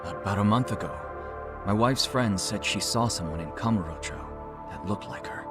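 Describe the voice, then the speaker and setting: A man's voice: fairly low pitch, over loud music, natural voice. A man playing a courteous, formal middle-aged chief executive and widower in an English-dubbed video game drama. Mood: earnest, hopeful. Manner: measured, formal, articulate. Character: polished, courteous male CEO and grieving widower. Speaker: company executive, client.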